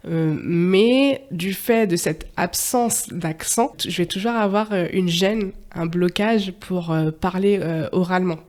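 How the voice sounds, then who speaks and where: A woman's voice: on the low side, slow pace, hardly any pauses, a little mellow. Hesitant young woman, lots of fillers. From France, raised partly in Martinique. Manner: hesitant, many fillers, laughs often. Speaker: podcast guest. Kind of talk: casual interview testimony.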